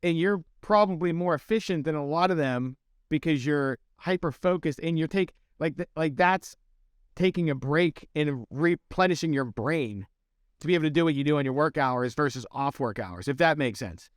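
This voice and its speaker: Podcast host: man, fairly high clear voice, dry close sound, curious and casual. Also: normal speed, no room sound. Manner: curious, loose, uses "like" a lot. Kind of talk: casual interview conversation.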